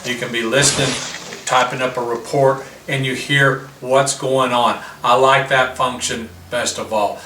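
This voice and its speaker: A man's voice: medium pitch, steady tone, measured pace. A man giving a plain, step-by-step product demonstration as the presenter. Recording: roomy, distant mic with faint noise.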